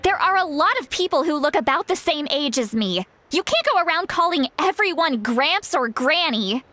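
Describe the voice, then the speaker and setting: A woman's voice: high-pitched, lively intonation, normal speed, pushed and bright, dry recording. A woman playing a stern, exasperated guardian who scolds like an elder in a video game. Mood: exasperated scolding.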